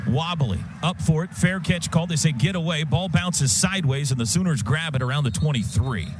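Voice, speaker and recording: American male radio play-by-play announcer, fairly high voice, clipped action calls, heavy stadium din behind. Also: animated, brisk. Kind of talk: live American football radio commentary. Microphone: broadcast booth microphone. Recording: loud stadium noise behind the voice. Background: loud outdoor stadium ambience.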